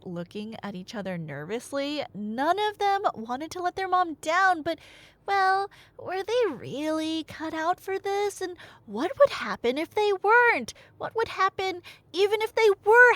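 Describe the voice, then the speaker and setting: A woman playing a nerdy girlfriend in an ASMR roleplay, telling Greek myths conversationally with playful asides and dramatic pauses. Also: sits high, fairly bright tone, dry recording.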